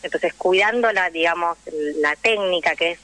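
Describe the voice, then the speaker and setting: A woman's voice: fairly low pitch, moderate pace, pushed and bright, dry recording. A young woman with a Rioplatense Argentine accent, explaining things emphatically in a conversational radio interview, often checking in with her listener. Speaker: radio guest, actress and drama teacher.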